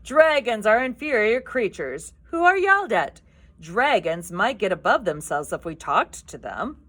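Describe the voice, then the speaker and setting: A woman's voice: mid-range voice, sing-song, unhurried, fairly bright tone, voice pushed up. A woman voicing a self-important male Viking warrior who tries to sound noble, in a pompous manner.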